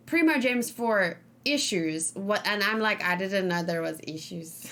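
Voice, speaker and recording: A woman's voice: mid-range voice, lively intonation, measured pace, fairly bright tone. A woman gaming streamer talking casually and informally, rambling and thinking aloud as she hosts a let's play.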